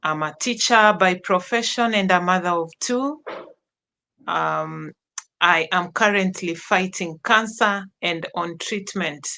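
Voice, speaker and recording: A woman with a Kenyan accent speaks as a guest in an interview, telling her story slowly. Her voice sits fairly low, and she halts often, filling gaps with "uh" and starting phrases over. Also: sharp tone. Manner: slow, halting, many "uh" pauses and restarts. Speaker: guest, teacher. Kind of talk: personal testimony in an interview.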